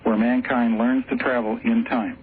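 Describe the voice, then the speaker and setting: A man's voice: medium pitch, little pitch movement, moderate pace. Assured male caller on a tinny line. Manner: assured, declarative. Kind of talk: call-in theory. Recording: tinny phone call with faint hiss.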